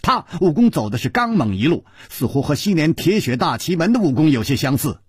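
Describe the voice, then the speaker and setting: A man's voice: fairly high pitch, sing-song, husky, no room sound, clean studio sound. A man doing a proud young swordswoman. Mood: explaining. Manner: measured, then cold sneering.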